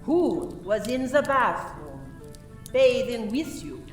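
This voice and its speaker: Woman acting as stern police inspector, slow and deliberate. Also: a little high, very animated, recorded from a distance. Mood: stern, probing. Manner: formal, deliberate interrogation. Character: stern, accusing female French police inspector questioning murder suspects. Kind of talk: community radio play acting. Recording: roomy, off-mic, music behind.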